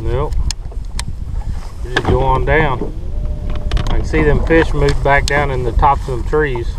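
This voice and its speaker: A man's voice: medium pitch, steady tone, a little slow. A man giving a folksy, colloquial fishing tutorial from an open boat on a lake. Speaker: fishing instructor. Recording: distant mic with loud wind noise.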